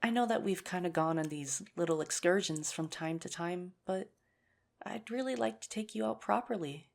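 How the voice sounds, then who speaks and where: A woman acts out a nerdy young teacher who is shyly courting a crush. The voice is low for a woman, with plenty of fry. The delivery is earnest, hesitant and gently self-mocking, in an intimate ASMR romance roleplay. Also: moderate pace. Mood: shy, hopeful.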